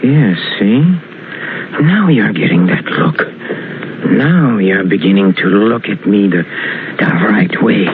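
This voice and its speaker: An actor playing a brooding, menacing young killer in 1940s radio suspense style, speaking in halting, repeated phrases. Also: male voice, fairly low pitch, animated, noisy background. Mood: quietly menacing. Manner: halting, repetitive, broken phrases. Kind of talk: 1940s radio suspense acting, confessional monologue. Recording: muffled old radio recording with music.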